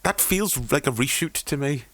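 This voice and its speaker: A man's voice: mid-range voice, animated, moderate pace, fairly bright tone, no echo. A man co-hosting a film podcast, rambling casually, swearing freely and trailing off while he hunts for names. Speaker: podcast co-host.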